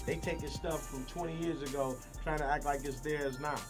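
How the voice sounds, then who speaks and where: Brief: man, mid voice, encouraging advice, music clearly underneath. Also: fairly high pitch, steady tone, moderate pace. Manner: encouraging, advising. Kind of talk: documentary interview. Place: radio studio, indoors.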